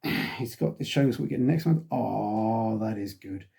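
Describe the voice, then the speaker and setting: A man's voice: lowish voice, lively intonation, moderate pace, creaky, warm tone, husky. A middle-aged man reviewing a wargaming magazine in a casual, rambling way, sighing often and filling gaps with ums while he gets over a cold that has him losing his voice. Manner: rambling, frequent sighs and ums.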